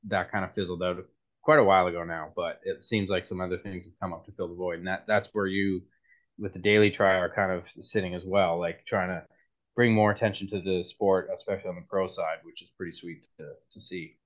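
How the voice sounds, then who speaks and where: A man's voice: sits low, fairly even intonation, brisk, dull audio. A man co-hosting a podcast, explaining things in a matter-of-fact way. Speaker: podcast co-host. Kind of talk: casual podcast chat.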